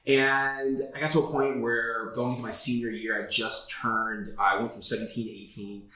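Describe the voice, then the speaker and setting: A man's voice: mid-range voice, flat delivery, normal speed, sharp tone. A man in his thirties from South Florida, a guest telling his career story in a casual interview, candid and full of "like" and "uh". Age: 30s. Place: office. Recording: echoey room.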